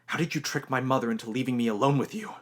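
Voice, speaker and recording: A man's voice: medium pitch, very fast, fairly bright tone, close to an everyday voice. A man voicing a proud, frightened teenage boy, a duke's son, speaking tensely and defiantly.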